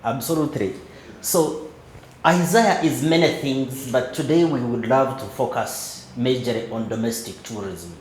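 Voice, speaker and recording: A man hosting a podcast interview, gently prompting his guest and murmuring "mm" along as he listens. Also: mid-range voice, normal speed, reverberant, slight background noise, low-quality recording. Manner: encouraging, frequent backchannel "mm", gentle prompting. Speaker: podcast host.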